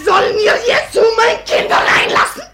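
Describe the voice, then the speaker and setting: A woman's voice: very high voice, moderate pace, vocal fry, raised voice, noisy background. An actress playing a wronged, stubborn young servant mother in a stage drama, speaking broken German in plaintive outbursts. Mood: furious, desperate. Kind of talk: theatrical naturalist stage drama acting.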